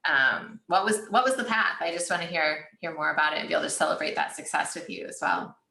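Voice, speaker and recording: Host, woman, low and bright voice, encouraging casual manner, roomy echo on the recording. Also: fast talker, vocal fry. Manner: encouraging, lots of "like" and "right?". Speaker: interview host. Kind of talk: casual interview conversation.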